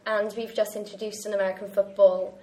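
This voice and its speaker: A woman's voice: medium pitch, little pitch movement, fairly quick, mellow, a little hiss or hum. Chatty young woman. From Wales. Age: young adult. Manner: chatty, laughs often. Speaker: university student. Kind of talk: casual chat.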